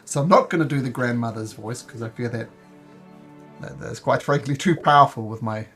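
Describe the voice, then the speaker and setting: A game master narrating his tabletop scene in an easy, chatty way. His voice sounds a bit croaky from a cold, at an ordinary middle pitch. Faint music plays underneath. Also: brisk, fairly bright tone.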